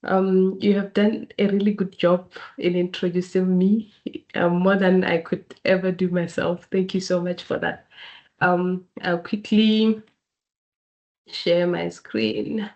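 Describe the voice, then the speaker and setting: Woman with a cold, halting speech. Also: on the low side, flat delivery, darkish tone, muffled recording. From Botswana. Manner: hesitant, many ums. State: has a cold. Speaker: keynote speaker. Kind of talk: online webinar presentation. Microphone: video call.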